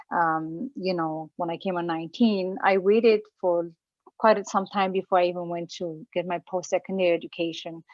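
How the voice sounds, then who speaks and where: A woman from Romania in her forties tells her story as a guest speaker, earnest and full of fillers and restarts. Her voice sits on the low side. The recording is dull and dry, with little room sound. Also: dry recording. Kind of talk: personal talk at an online event.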